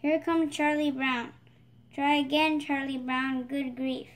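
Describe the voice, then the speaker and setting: A woman's voice: high voice, flat delivery, slow pace. A female channel host reading a picture book aloud. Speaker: storybook reader, channel host.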